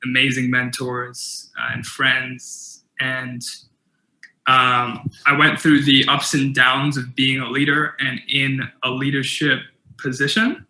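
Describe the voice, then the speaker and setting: Young man, keynote speaker with a stutter, earnest, very bright tone, roomy echo. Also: mid-range voice, completely flat delivery, slow pace, slight background noise. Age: young adult. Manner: earnest, with stutter repetitions and fillers. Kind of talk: motivational keynote talk.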